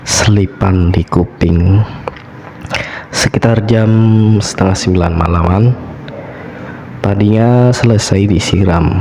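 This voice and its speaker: A man with a Javanese accent hosting and telling horror stories radio-style, his voice slightly hoarse from a cold. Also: sits low, darkish tone, slight background noise. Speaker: host of a horror story show.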